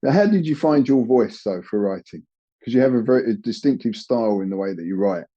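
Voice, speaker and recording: A man who is a karate instructor, a guest on a podcast, speaking in a measured, encouraging way with fillers. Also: mid-range voice, expressive, mellow, dull audio. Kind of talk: candid casual conversation.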